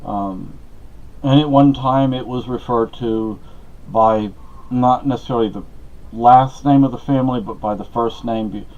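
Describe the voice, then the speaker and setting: A man's voice: mid-range voice, measured pace, darkish tone. A middle-aged man gives a casual, rambling monologue, conversational and full of asides and personal anecdotes. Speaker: podcast host giving personal updates. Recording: dull lo-fi audio.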